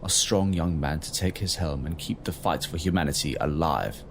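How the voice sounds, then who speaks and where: Man acting a very deep, solemn fleet captain. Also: background noise. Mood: grave, encouraging. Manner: formal, measured, solemn. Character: grave, kindly male fleet captain, formal officer. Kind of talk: audio drama voice acting.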